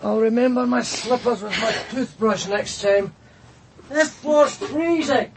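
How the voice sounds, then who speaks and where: An actor playing a weary, brusque police detective sergeant in a radio crime drama. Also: male voice, very high-pitched, a little slow, noisy background. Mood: groggy, affectionate. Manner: brusque, colloquial, bossy. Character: gruff male police detective sergeant, impatient, weary, wry.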